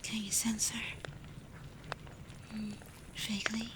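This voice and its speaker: A woman playing a tender, protective alpha werewolf in an intimate ASMR roleplay, speaking softly and breathily, often in a whisper. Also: mid-range voice, little pitch movement, natural voice. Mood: soothing, curious. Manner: slow, gentle, hesitant when confessing feelings. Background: ambient storm noise.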